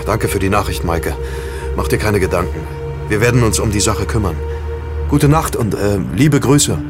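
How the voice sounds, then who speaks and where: An actor playing a friendly young amateur sleuth in an audio drama, casual and soothing with slight hesitations. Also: male voice, fairly low pitch, moderate pace, over loud music, natural voice. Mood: calm, friendly. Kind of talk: German audio drama acting.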